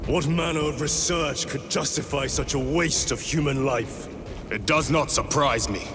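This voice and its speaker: A man's voice: a little high, over music, natural voice. A man playing a formal, solemn armored warrior. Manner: formal, solemn, measured speech. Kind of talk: video game voice acting.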